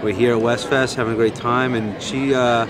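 A man's voice: medium pitch, level tone, moderate pace. A middle-aged father from Long Island chatting with fillers about a college visit, recorded outdoors on a camera mic. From Long Island, New York. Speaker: parent of admitted student. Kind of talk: casual on-camera remarks. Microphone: camera mic, close framing. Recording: outdoor camera audio with crowd noise.

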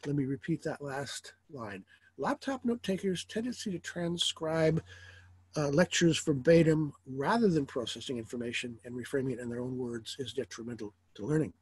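Older professor with a husky voice. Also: male voice, medium pitch, moderate pace, dry recording, rough recording. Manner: rambling, with asides and dry jokes. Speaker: college professor. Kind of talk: recorded lecture over slides. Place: home study with bookshelves.

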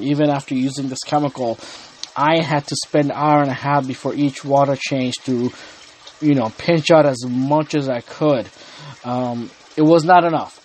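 A man's voice: medium pitch, a little mellow, a little hiss or hum, low-quality recording. Man explaining his hobby tank setup. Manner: unhurried, frequent ums and restarts. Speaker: aquarium hobby channel host. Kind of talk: casual explanatory talk to camera. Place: indoor home room with the aquarium.

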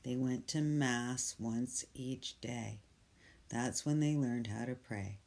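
A storyteller reading a picture book aloud, gentle and soft, sometimes dropping to a whisper. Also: mid-range voice, slow. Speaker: storybook reader.

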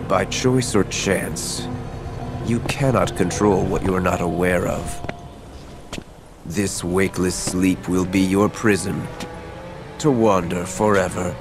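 An actor playing a cold, cryptic young villain in a video game, measured, ominous and condescending. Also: male voice, deep voice, music in the background. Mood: cold menace.

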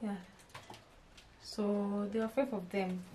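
A young Kenyan-accented woman talks through a casual haul vlog in a small home kitchen. Her voice sits at a middling pitch, and she keeps turning to her viewers with questions and restarting her sentences. The camera picks her up from arm's length. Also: little pitch movement, slow pace, dark tone, slight background noise. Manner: chatty, addresses viewers with questions, often restarts phrases. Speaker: vlogger.

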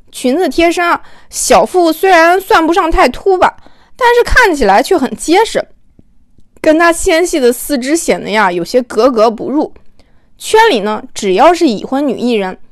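A woman with a high voice narrates a story. Her delivery swings up and down in a playful, colloquial way, as if sharing gossip. The sound is dry and close. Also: no echo. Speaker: audiobook narrator. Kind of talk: animated, chatty storytelling narration.

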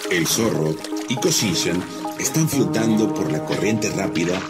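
A man's voice: low-pitched, expressive, a little slow. A man narrating a scripted folk tale for children. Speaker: storyteller narrator. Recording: music under the voice.

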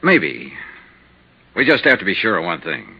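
A man's voice: low-pitched, huge pitch swings, normal speed, creaky, noisy background, full character voice. An American actor playing a cool, businesslike insurance investigator who narrates his case in clipped 1950s radio style. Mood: probing, cool. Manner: clipped, matter-of-fact delivery. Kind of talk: 1950s radio detective acting. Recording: muffled 1950s radio recording with music.

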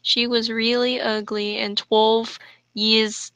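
A woman's voice: on the high side, flat delivery, takes it slowly, sharp tone, no echo. A woman reading fan fiction aloud and commenting on it casually. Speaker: reader and commentator.

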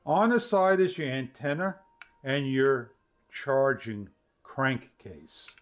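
A man in his fifties giving an instructional product demonstration to camera, with halting phrasing. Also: medium pitch, lively intonation. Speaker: product reviewer. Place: small home studio room, indoors.

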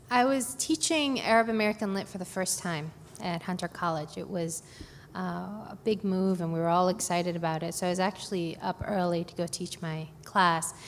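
Hesitant woman in an echoey hall. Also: lowish voice. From Brooklyn, New York. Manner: hesitant, many fillers, self-deprecating. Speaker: oral history narrator on a panel. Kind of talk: oral history interview. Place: conference hall. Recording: echoey hall, faint background noise.

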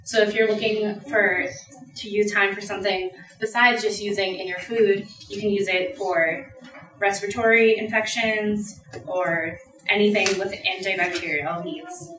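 A woman's voice: mid-range voice, measured pace, a bit bright. A young woman giving a class presentation, explaining a recipe in a plain, practical way. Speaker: student presenter. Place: lecture room with audience. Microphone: far camera. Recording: echoey room from far off.

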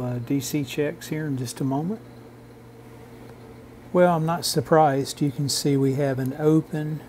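Slow, dark-voiced man, flat delivery. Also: on the high side, a little hiss or hum. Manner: halting, measured, technical. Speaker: host of a radio repair channel. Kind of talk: instructional repair narration over video.

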